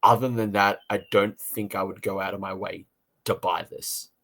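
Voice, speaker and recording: A man with a fairly low voice talks as a co-host in an informal review discussion. He speaks haltingly, often rewording a thought midway through. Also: little pitch movement, creaky, fairly bright tone. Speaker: podcast co-host reviewing audio dramas. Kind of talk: casual review chat.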